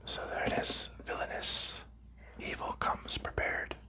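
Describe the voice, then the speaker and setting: A man hosting an ASMR video in a soft unvoiced whisper, calmly narrating each step as he packs up a board game, with relaxed fillers. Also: measured pace. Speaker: ASMR channel host.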